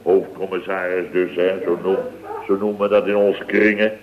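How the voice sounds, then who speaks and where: An actor playing a boastful, easily flustered building contractor boss in a radio comedy, rambling and full of verbal tags. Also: male voice, low-pitched, little pitch movement, normal speed. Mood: indignant. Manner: rambling, peppered with "hè" and "zeg". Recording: tinny, band-limited vintage radio recording.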